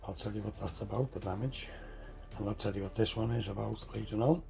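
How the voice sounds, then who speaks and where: A hoarse man getting over a cold walks through a tabletop game setup, halting, correcting himself and clearing his throat. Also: lowish voice, fairly even intonation, mellow, faint music behind. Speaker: tabletop game tutorial host. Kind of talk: casual step-by-step game setup walkthrough.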